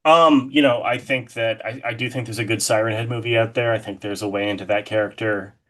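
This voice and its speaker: A man with a fairly low voice talks as an interview guest. His delivery is informal and conversational, with an enthusiastic way of explaining things. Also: steady tone, a little fast, a bit bright. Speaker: interview guest, horror artist.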